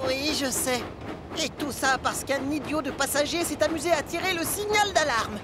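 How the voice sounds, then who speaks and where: A woman voicing a cheeky, impulsive young steam locomotive in a children's cartoon dub, expressive and childlike. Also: sits high, moderate pace, noisy background, lightly acted. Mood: stubborn, cross. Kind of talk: animated children's series dub.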